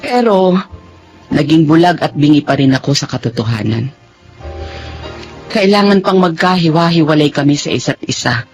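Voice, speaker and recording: An actress playing a remorseful middle-aged mother in an emotional, halting radio drama style. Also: deep voice. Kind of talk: radio drama acting and first-person narration.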